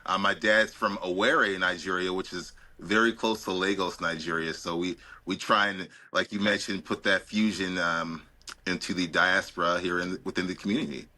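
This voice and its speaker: A relaxed man with a very low voice and a bright, forward tone answers interview questions plainly. He speaks as a restaurant owner. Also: moderate pace.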